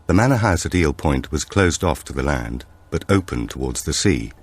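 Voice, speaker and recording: A man narrates a thriller audiobook in a very deep voice. His delivery is measured and dramatic, with pitch that moves expressively across the sentences. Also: animated, breathy edge.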